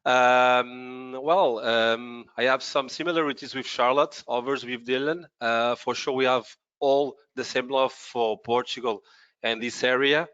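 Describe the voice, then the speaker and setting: A middle-aged man from France, telling his story calmly and earnestly on an online webinar. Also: medium pitch, unhurried, clear, resonant tone, no echo, muffled recording. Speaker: real estate panelist.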